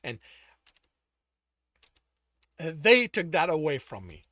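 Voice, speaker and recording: A man voicing a young man who barks harsh, forceful demands at someone he loves. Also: fairly high pitch, big pitch swings, measured pace, no echo, clean studio sound, full character voice. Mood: harsh, commanding. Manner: loud, clipped, forceful delivery. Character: young man, desperate and furiously commanding.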